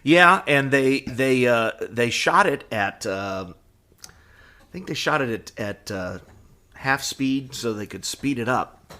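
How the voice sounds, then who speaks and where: A man in his fifties co-hosting a podcast, chatting casually and reminiscing in a halting way, repeating phrases as he goes. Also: medium pitch, expressive, pushed and bright. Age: 50s. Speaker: podcast co-host. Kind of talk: casual reminiscing fan chat.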